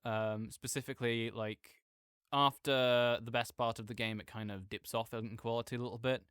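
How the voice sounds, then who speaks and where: A man's voice: mid-range voice, fairly even intonation, moderate pace, fairly bright tone, clean tone, dry recording, studio quality, close to an everyday voice. A man playing a chatty, nerdy roommate who rambles enthusiastically about a video game in a casual, digressive audio roleplay monologue. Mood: enthusiastic, opinionated.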